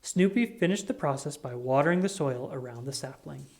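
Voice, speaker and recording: A reader giving an expressive, scripted read-aloud of a children's picture book. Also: medium pitch, a little fast. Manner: animated, expressive reading.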